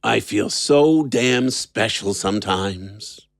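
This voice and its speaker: An actor playing an unhinged, resentful and cruel man with a gravelly voice and a sardonic manner in a horror audio drama. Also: on the low side, slow pace, no echo, studio quality. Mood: sardonic, wary. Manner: sardonic, erratic swoops.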